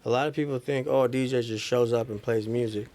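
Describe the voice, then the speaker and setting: A man's voice: medium pitch, fairly even intonation, quick pace, a little mellow. An American man chatting casually as a podcast guest, informal and slangy in his way of talking. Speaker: guest, wedding DJ and recording engineer. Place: small room with couches, indoors.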